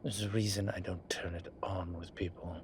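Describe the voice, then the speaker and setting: A man voicing a flirtatious demon professor who keeps up a genteel, professional front, speaking softly and often in a whisper. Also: deep voice, flat delivery, noisy background, close to an everyday voice. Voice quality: soft, often whispered, unhurried. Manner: teasing, measured, genteel. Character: flirtatious male demon professor, composed, teasing. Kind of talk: audio roleplay.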